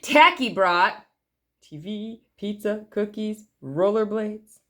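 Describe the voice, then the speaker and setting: A woman's voice: medium pitch, expressive, slow pace, a bit bright. A woman reading a picture book aloud to children expressively, stretching key words for emphasis. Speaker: library storytime reader.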